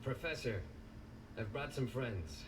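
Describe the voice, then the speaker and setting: An actor playing a serious young male investigator in a video game, calm, measured and formal. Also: medium pitch, slight background noise, close to an everyday voice, low-quality recording.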